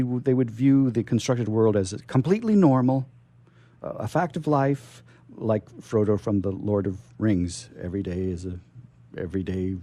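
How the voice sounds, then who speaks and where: A male radio host with a soft, mellow tone and a very lively, swooping pitch. He chats playfully and a little theatrically, often halting and doubling back on his words as he interviews. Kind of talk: live radio interview, chatty. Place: radio station studio.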